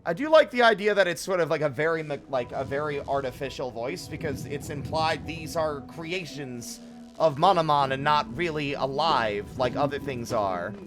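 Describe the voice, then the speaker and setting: A man's voice: on the high side, moderate pace, over music. A man giving casual live game commentary as a streamer, musing and sometimes sweary.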